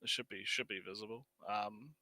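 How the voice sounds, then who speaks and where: Man, casual stream co-host, very bright sharp voice, dry close sound, repetitive rambling chat. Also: fairly low pitch, steady tone, brisk, dry recording, low-quality recording. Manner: repeats phrases, rambling. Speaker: livestream co-host. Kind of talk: casual livestream banter.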